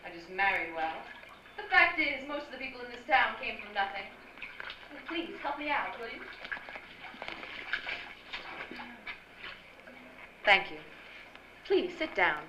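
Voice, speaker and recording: A woman's voice: a little high, very animated, normal speed. A woman playing an elegant, confiding rich wife in a radio drama, with poised theatrical delivery. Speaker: actor. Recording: dull noisy live-stage recording.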